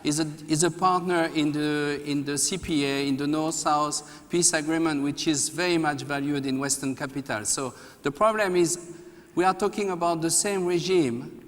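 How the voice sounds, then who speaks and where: A measured, analytical man laying out his argument on a debate panel. His voice sits slightly higher than average for a man. The sound carries the long reverb of a large hall. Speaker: research fellow on a debate panel. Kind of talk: formal public debate.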